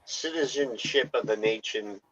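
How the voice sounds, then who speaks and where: A man's voice: on the low side, measured pace, highs cut off, crowd noise. A man from the United States, talking as a guest in a casual chat, hesitant and explanatory.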